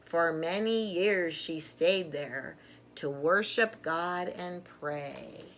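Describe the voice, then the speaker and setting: Animated woman reading to young children. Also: lowish voice. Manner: simple, emphatic phrasing addressed to young children. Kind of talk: children's story read aloud with informal show-and-tell asides.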